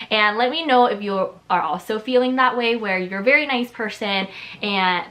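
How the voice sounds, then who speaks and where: An upbeat woman in her late twenties, raised with Chinese as her first language, talks straight to camera in a relaxed vlog. Her voice is bright and forward, and she chats on with a steady stream of casual fillers, sounding at ease. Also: medium pitch. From Southern California. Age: 20s. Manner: upbeat, chatty, lots of "like" fillers. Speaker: vlogger.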